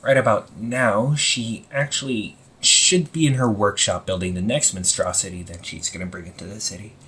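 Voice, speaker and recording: A man playing an anxious, wry young supervillain in a casual audio roleplay, talking informally with exasperated sighs and halting phrases. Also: on the low side, sharp tone, a little hiss or hum. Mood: wry, resigned. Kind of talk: amateur audio roleplay, casual conversational acting.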